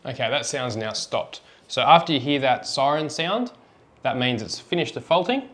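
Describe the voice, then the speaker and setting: A man's voice: mid-range voice, expressive, normal speed, fairly bright tone. A man presenting a hands-on product tutorial, explaining each step in an informal, practical way. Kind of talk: hands-on how-to demonstration.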